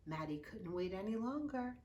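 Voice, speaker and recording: A woman reading a children's picture book aloud with expressive, dramatic storytelling and drawn-out key words. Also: medium pitch. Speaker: youth services librarian reading aloud.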